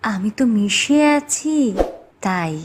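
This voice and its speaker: A woman voicing a kindly, reassuring magical being in a cartoon fairy tale, speaking in a gentle, coaxing way. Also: medium pitch, lively intonation, slow, heavy background noise. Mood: reassuring, playful.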